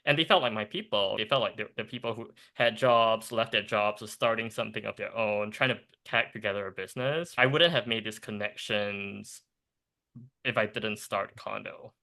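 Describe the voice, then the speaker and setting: Gravelly, bright-toned Singaporean man. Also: fairly low pitch. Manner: animated, quick bursts with restarts and laughs. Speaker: startup co-founder, guest. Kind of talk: casual podcast interview.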